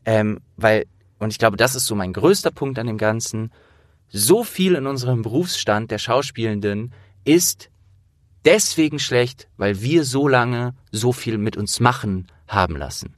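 A man's voice: mid-range voice, expressive, measured pace, no room sound, studio quality. A man in casual, opinionated conversation, speaking earnestly and emphatically in colloquial language with some swearing. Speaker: interviewer and audio drama producer with acting training.

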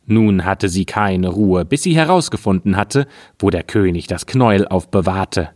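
A man narrating a scripted fairy tale as a storyteller, with a measured, expressive read-aloud delivery. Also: deep voice, no room sound, studio quality.

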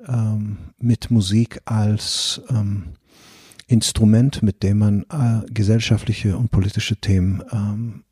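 A man from Israel, a guitarist and composer, speaking German as a guest, with many pauses and fillers as he searches for words. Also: on the low side, dark tone, breathy edge, dry recording, clean studio sound. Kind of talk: casual interview talk. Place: sitting across from the host.

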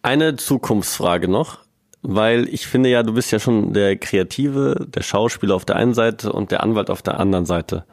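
Casting: lowish-voiced male host, playful and chatty, dry close mic. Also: normal speed, dry recording. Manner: chatty, teasing, stumbling over words. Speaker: podcast host. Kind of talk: casual interview chat.

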